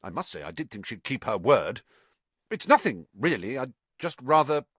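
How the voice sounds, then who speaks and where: A man's voice: mid-range voice, very animated, moderate pace, a bit bright, breathy edge, no echo. A man doing a prim, stammering teenage prefect. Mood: flustered. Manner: flustered, stammering. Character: prim, fussy older teenage boy prefect.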